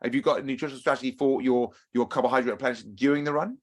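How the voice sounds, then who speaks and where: Friendly male coach hosting a webinar Q&A, casual and lively. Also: mid-range voice, racing. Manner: chatty, upbeat, asks audience questions. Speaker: running coach. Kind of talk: webinar talk and Q&A.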